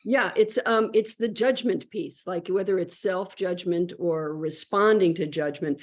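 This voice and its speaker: A woman joins a video call to ask an artist a question, speaking hesitantly with plenty of pauses and restarts. Her voice is somewhat low-pitched. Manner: hesitant, searching for words. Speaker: audience participant.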